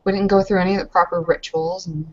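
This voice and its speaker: A woman playing an earnest, shaken, underage young woman in a tabletop roleplaying game, halting and hesitant as she speaks. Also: on the low side, fairly even intonation, darkish tone, lo-fi sound, lightly acted. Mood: upset, defensive.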